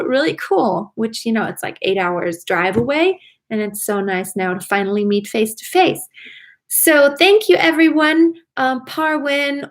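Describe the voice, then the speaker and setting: Chatty, high-voiced woman on a livestream. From Germany. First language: German. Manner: chatty, enthusiastic, addresses viewers directly. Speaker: singing teacher and livestream host. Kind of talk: casual livestream talk to viewers.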